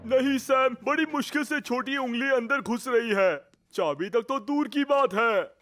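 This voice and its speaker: A man's voice: extremely high pitch, measured pace, pushed and bright, full character voice. A man playing a bumbling, timid, food-loving young firefighter in a dubbed children's cartoon. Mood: flustered. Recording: music behind the voice.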